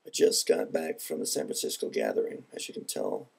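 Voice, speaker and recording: A man speaking in an earnest, measured and reflective way in a personal vlog, his voice congested from a head cold. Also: rumbling low, animated, creaky, darkish tone. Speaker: video blogger.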